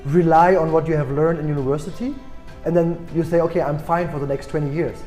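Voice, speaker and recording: A man in his forties with a German accent, answering interview questions thoughtfully with many pauses and restarts. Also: fairly high pitch, fairly even intonation, warm tone, roomy sound, faint music behind. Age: 40s. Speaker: guest executive.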